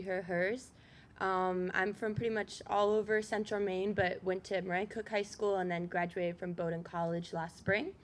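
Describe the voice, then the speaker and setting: A woman in her twenties introducing herself on a panel in a casual way, with frequent ums. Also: medium pitch. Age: 20s. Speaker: youth panelist.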